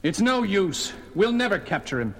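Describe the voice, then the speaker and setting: An actor playing an alarmed, excitable man in a melodramatic horror audio drama, exclaiming in an overwrought way. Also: high voice, normal speed, noisy background. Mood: despairing. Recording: very loud music behind the voice.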